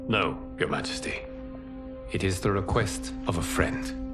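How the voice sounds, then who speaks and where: Low-voiced, earnest heroic advisor. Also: male voice, a little slow, loud music behind the voice. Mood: earnest, respectful. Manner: formal, urgent, respectful. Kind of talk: dramatic video game cutscene acting.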